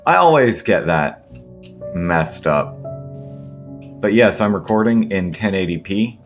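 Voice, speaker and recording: A man with a hoarse, scratchy voice from a sore throat, rambling with many ums and self-corrections as he comments on his gameplay. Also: low-pitched, lively intonation, slow pace, soft background music. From Seattle area, US. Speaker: gaming video host.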